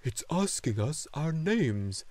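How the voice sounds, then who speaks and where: A man performs a luck dragon from a fantasy storybook, wise and joyful. The voice sits fairly high and moves with wide, singing swings of pitch. Each phrase is delivered slowly and solemnly, in a close, dry sound. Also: big pitch swings, darkish tone, no echo. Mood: solemn, measured. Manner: slow, solemn, deliberate delivery.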